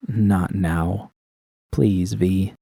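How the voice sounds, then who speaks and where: A man's voice: deep voice, fairly even intonation, extremely slow, gravelly, warm tone, no echo, clean studio sound, full character voice. Man voicing a defensive, distressed young woman. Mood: weary, pleading.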